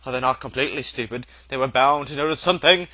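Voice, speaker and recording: Male reader as older female witch professor, severe, clipped, scolding, sharp-edged tone, storybook reading. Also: mid-range voice, fast, no echo, lightly acted. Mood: scornful.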